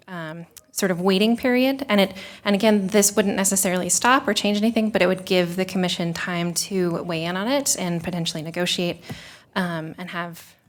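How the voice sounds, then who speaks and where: A lowish-voiced woman explains a program to a city commission in a public meeting. She talks in a measured, explanatory way and fills pauses with ums. She is speaking into a table microphone in a council chamber. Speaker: city staff presenting to a commission.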